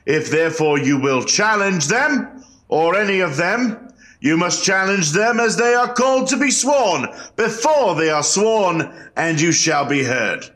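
A man's voice: high voice, animated, measured pace, a bit bright. A man playing a solemn, formal colonial court clerk in a historical reenactment, with a ceremonious, declamatory manner.

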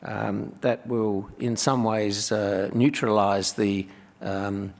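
An older man with a low, gravelly voice gives panel remarks from a conference stage, speaking in a measured, hesitant way full of pauses. His voice carries a long hall reverb from the table microphone. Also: level tone. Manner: measured, hesitant with many fillers. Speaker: panellist, economics professor.

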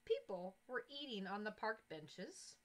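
A woman in her thirties reads a picture book aloud to young children, with expressive, deliberate phrasing, on a headset mic. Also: mid-range voice. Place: small indoor classroom-style corner. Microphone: headset boom mic.

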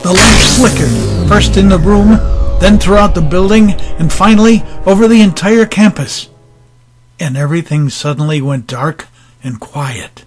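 A man with a high-pitched voice reads scripted story narration. He tells the tale as an audiobook narrator, with prominent music playing behind him. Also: expressive, measured pace.

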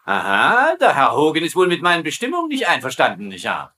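An actor playing a pompous, strict German camp commandant in a sitcom dub, haughty and officious. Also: male voice, on the high side, huge pitch swings, brisk, pushed and bright, slight background noise, heavily acted. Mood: indignant. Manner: haughty, clipped, officious, tag questions. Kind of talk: dubbed sitcom acting.

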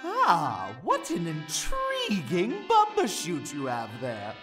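A woman voicing a sly, theatrical warlock shopkeeper creature in a comic dub, with grandiose, drawn-out delivery. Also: on the low side, wildly swooping pitch, heavily acted. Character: theatrical sinister shop-keeping warlock creature, sly salesman. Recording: distant voice under loud music.

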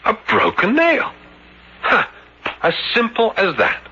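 An actor playing a big, earnest, slightly slow young policeman in an old radio mystery, puzzled and respectful in manner. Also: sits high, very animated, creaky, bright, forceful tone, breathy edge, full character voice. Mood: insistent, amazed. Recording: dull vintage radio recording.

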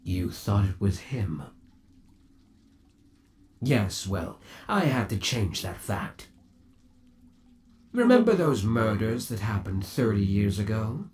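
A man performs as a menacingly doting undead boyfriend in an ASMR horror roleplay. His low voice moves slowly, sweet and possessive, with theatrical swings up and down in pitch. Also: sing-song, darkish tone. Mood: eerily calm. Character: undead yandere boyfriend trapped in springlock suit, menacingly doting. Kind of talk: ASMR roleplay, scripted monologue to the listener.

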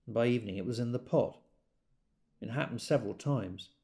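An actor playing a wry British character in an audio drama, telling memories conversationally and quick to exasperation. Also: male voice, mid-range voice, vocal fry, mellow, natural voice. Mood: reflective, understated. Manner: conversational, acts out quoted speech. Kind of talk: intimate audio drama monologue.

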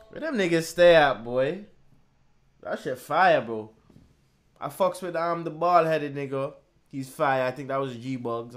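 A man in his twenties giving casual, slangy and animated commentary as he reacts to music. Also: fairly high pitch. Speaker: reaction video host. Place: small indoor room at home. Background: music from the video he is watching.

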